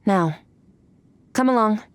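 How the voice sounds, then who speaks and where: A woman playing a cold, aloof, powerful female warrior in a video game, speaking in a cool, measured, blunt and detached way. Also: medium pitch, a little hiss or hum. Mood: curt, detached. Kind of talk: video game character acting.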